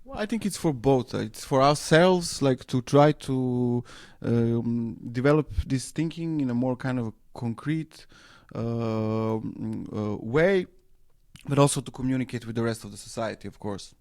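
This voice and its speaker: A man who grew up in Serbia and speaks English as a second language. He answers radio interview questions in a halting way, full of fillers and restarts as he searches for words. His voice sits at an ordinary middle pitch. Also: darkish tone. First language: Serbo-Croatian. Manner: hesitant, many fillers and restarts. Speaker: interview guest, anarchist newspaper editor.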